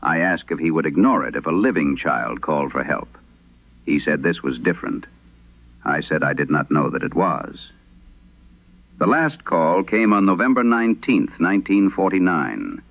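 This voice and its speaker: A man narrating a vintage late-night radio horror show, reading letters in a solemn, measured, literary way through an old radio filter. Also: very deep voice, expressive, narrow, tinny audio. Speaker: horror audio drama host reading letters. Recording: band-limited, dry vintage radio sound.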